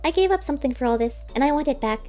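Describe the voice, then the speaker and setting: A woman's voice: sits high, animated, racing, a little mellow, faint music behind, voice pushed up. A woman voicing a wistful, plain-spoken male squirrel with unusual cleverness, striking bargains in an audiobook story. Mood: wistful, earnest. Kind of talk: audiobook character voice.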